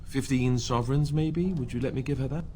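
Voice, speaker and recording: A man's voice: mid-range voice, brisk, warm tone, slight background noise, natural voice. A man playing an earnest, awkward young warrior prince in a video game, speaking hesitantly and sincerely. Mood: flustered, apologetic.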